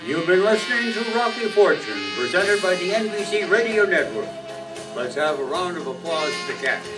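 A man's voice: high voice, lively intonation, normal speed, loud music behind the voice. A man announcing in the style of old-time radio. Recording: distant hall mic.

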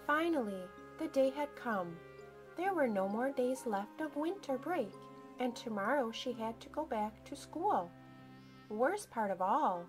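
A woman with a fairly high, very lively voice reads a picture book to children. She takes her time, stressing words dramatically, over a music bed. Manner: deliberate, dramatic emphasis for young listeners. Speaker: storyteller for children. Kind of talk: expressive children's picture book read-aloud.

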